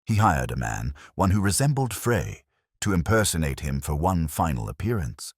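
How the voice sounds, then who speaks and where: A man narrating a scripted mystery story in a measured, formal way. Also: low voice, sing-song, slightly rough, no echo, clean studio sound. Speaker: audiobook narrator.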